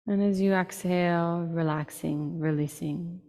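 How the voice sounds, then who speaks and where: A woman with a low-pitched voice leads a guided meditation, soft-edged and mellow in tone. She speaks in short, unhurried phrases and leaves long pauses between them. A long reverb trails behind her words. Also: fairly even intonation. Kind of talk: slow, soothing guided meditation.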